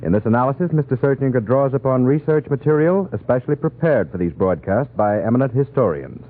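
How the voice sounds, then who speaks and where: A narrow, tinny old radio recording carries a male American announcer. He reads a 1940s programme introduction at medium pitch with lively swings of intonation. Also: fast talker.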